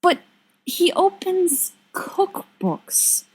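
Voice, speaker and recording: A weary young woman from a storybook, voiced by a woman reader, wry and coaxing. She pleads with a lively, swooping intonation and unhurried timing. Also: very high-pitched, pitched above the performer's own voice, heavily acted, low-quality recording. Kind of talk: storybook character reading.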